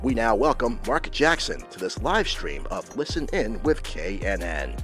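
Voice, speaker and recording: Man, sports show announcer, scripted intro read, swooping lively delivery, music bed. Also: lowish voice, measured pace.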